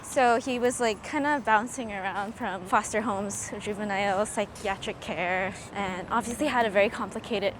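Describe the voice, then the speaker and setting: A woman's voice: medium pitch, normal speed, hardly any pauses. A young American woman in casual conversation outdoors, a little hesitant, saying "like" and "kinda" often. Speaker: interviewee, criminal defense mitigation specialist. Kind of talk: casual chat. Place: outdoors on a street. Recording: noisy outdoor street with traffic.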